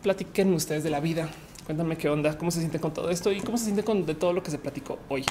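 A woman's voice: low-pitched, fast, mellow, a little hiss or hum. A woman from Colombia hosting a live talk show, chatting casually with her audience and rambling with many fillers and restarts. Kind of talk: casual commentary on a livestream. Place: lives in Mexico.

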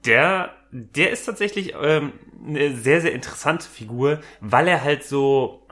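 A man's voice: on the high side, lively intonation, unhurried, a bit bright. A man co-hosting a podcast in casual chat, laid-back and dryly amused. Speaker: podcast co-host.

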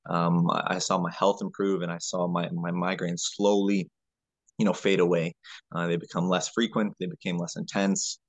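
A man, a health coach answering as a podcast guest in a halting, conversational way, often repeating words. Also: low-pitched, little pitch movement, dry recording, dull audio.

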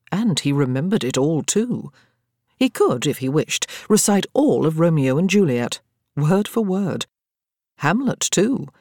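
An English woman narrates a scripted novel with wry poise. Her intonation is very animated and varied, her phrasing measured. The recording is dry and intimate, as in an audiobook studio. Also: sits low, no echo.

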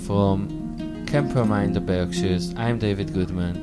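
Low-voiced man hosting camp radio. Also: fairly even intonation, a little slow. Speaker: radio host. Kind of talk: live radio interview. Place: summer camp radio station. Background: loud guitar music.

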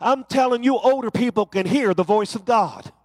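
A male preacher with a high, bright-edged voice, working through his sermon with rising, rhythmic refrains. The sound is dry and close, with no room around it. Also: expressive, moderate pace, dry recording.

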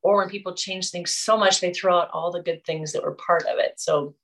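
A woman's voice: fairly low pitch, steady tone, brisk, fairly bright tone. A woman hosting a podcast interview, chatting casually and enthusiastically, rambling a little as she talks. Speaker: podcast host. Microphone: video call.

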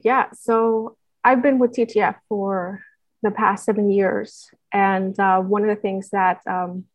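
A woman's voice: mid-range voice, level tone, measured pace, no echo, low-quality recording. A woman from Puerto Rico answering interview questions as an organization's staff member, earnestly and with many fillers and restarts. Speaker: guest, nonprofit staff member.

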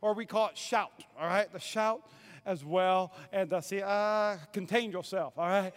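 A man's voice: sits high, fairly even intonation, unhurried, smooth. A pastor from North Dakota preaching a humorous sermon, playfully quizzing his congregation with call-and-response. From North Dakota, USA.

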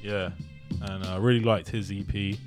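A man in casual conversation about music, talking in a relaxed, hesitant way with lots of ums. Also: on the low side, moderate pace. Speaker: musician guest. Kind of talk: casual chat.

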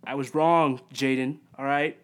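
A man's voice: medium pitch, fairly even intonation, measured pace. An eighteen-year-old man co-hosting a casual podcast, joking, rambling and swearing freely. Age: teens. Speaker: podcast co-host. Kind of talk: casual chat.

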